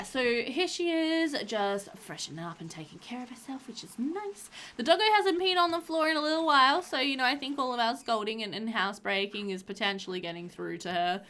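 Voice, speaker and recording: A woman's voice: on the high side, fairly bright tone. A woman in her thirties with a slightly stuffy nose, chatting casually through a headset as she narrates her life-sim game. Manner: chatty, rambling, laughs often. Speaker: gaming channel host. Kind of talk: casual gameplay voiceover.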